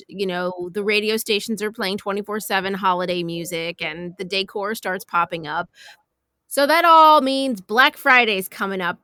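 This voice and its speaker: A woman interviews in a casual, conversational style. Her voice is bright and forward at an average pitch, recorded close and very dry. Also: dry recording. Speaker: podcast interviewer.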